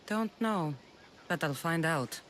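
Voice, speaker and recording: A woman's voice: low voice, lively intonation, slow pace, fairly bright tone, a little hiss or hum, full character voice. A woman playing a tough, protective young mercenary in a video game, dry and defiant. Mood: firm, dry.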